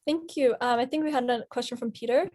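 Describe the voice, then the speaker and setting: A woman's voice: fairly high pitch, quick pace, a little mellow. A woman moderating an online meeting, brief and a little hesitant when calling on speakers. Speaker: meeting chair. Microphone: video call.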